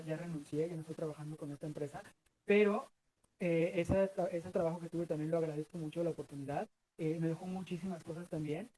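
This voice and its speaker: A man's voice: on the high side, warm tone, poor audio. A Mexican man in his thirties answering interview questions earnestly, rambling with many fillers and restarts. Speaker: guest.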